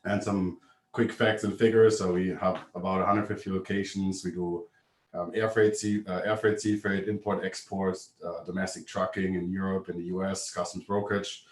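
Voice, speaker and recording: Low-voiced german guy explaining freight stuff, roomy echo, mic kinda far off. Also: flat delivery. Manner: brisk, matter-of-fact explaining. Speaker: webinar presenter. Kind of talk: business presentation. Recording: echoey room, distant mic.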